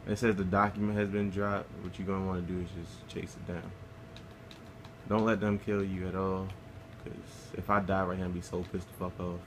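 A man's voice: low voice, level tone, moderate pace, noisy background. A man casually talking through a game walkthrough, laid-back and swearing freely as he plays. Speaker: gameplay guide narrator.

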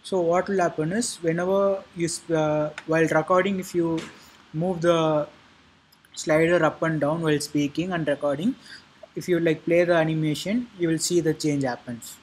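A man narrating an informal software tutorial in a halting, repetitive way, with a husky voice. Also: on the high side, steady tone, slight background noise, low-quality recording. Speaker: tutorial presenter.

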